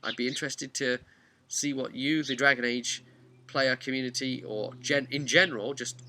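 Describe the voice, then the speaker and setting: A man's voice: medium pitch, steady tone, normal speed, bright, forceful tone. A man hosting a tabletop gaming series, talking casually and emphatically to viewers as he explains things. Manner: conversational, emphatic, acts out imagined players' remarks. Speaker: host of a tabletop role-playing video series. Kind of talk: casual explanatory vlog talk addressed to viewers.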